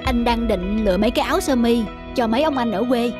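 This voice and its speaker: Easygoing young cartoon husband. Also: male voice, very high voice, quick pace, music in the background. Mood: persuasive. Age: young adult. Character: easygoing young husband, generous and family-minded. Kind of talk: cartoon family drama acting.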